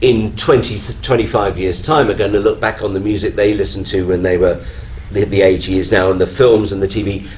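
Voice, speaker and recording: A man's voice: fairly low pitch, fairly even intonation, fairly quick, hardly any pauses, a little mellow. A man speaking earnestly as a guest in a live panel discussion, leaning on repetition for emphasis. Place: on stage at a fan convention. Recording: noisy live event room.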